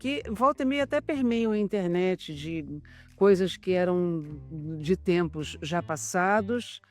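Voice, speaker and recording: A woman's voice: fairly low pitch, a little slow, darkish tone, soft background music. A Brazilian woman, a radio drama writer, explaining her work in an interview.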